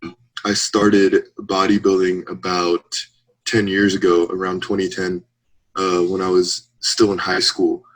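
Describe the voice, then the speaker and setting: A man's voice: low-pitched, monotone, unhurried, low-quality recording. A man in his late twenties, a guest in a casual interview, talking in a thoughtful, candid way and laughing between points. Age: 20s.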